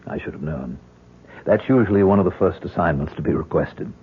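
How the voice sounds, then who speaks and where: An actor playing a courteous, reassuring university professor with a measured delivery in a radio drama. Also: male voice, low-pitched, breathy edge, full character voice. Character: smooth-talking, reassuring male university professor with secrets. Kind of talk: 1970s radio drama acting. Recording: dull old radio broadcast.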